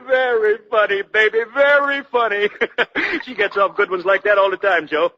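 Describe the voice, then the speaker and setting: Loud, animated, jovial trucking boss. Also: male voice, sits high, moderate pace. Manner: hearty, slangy, laughs often at own jokes. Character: jovial, boisterous trucking boss and oblivious husband, fond of drink. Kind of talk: 1940s radio acting. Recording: muffled, noisy 1940s radio recording.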